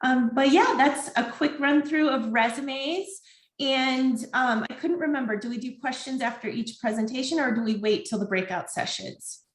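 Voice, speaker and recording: A woman's voice: high voice, moderate pace, reverberant. A woman from a career center giving an informative, upbeat presentation over a video call. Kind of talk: online presentation.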